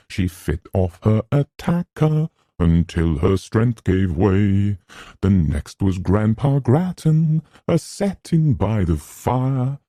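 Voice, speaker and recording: A man voicing a young man who chants a grim comic ballad in a nasal monotone, stressing each word distinctly. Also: fairly low pitch, dry recording, studio quality, pitched above the performer's own voice, exaggerated voice. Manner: slow singsong, each word distinctly emphasized.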